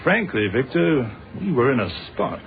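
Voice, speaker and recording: An unflappable British police inspector in an old-fashioned radio play. He speaks courteously and evenly, with dry patience, in a mid-pitched voice. The sound is narrow and phone-like. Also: very animated, vocal fry. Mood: reflective, recounting. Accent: British English. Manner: measured, polite, dryly unflappable.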